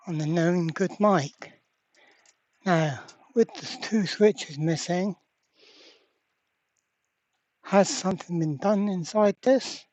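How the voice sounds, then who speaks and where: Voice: medium pitch, darkish tone, muffled recording. A repair vlogger giving casual, hands-on narration, thinking aloud in a blunt, exasperated way. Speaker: host of a radio repair channel.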